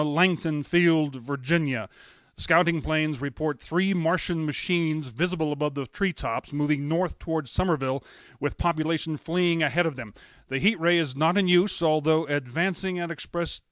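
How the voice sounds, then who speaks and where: An actor playing a grave, shaken radio news announcer, solemn and halting as he reads emergency bulletins in 1930s radio style. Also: fairly high pitch, fairly bright tone, smooth, no room sound, close to an everyday voice. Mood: urgent, halting.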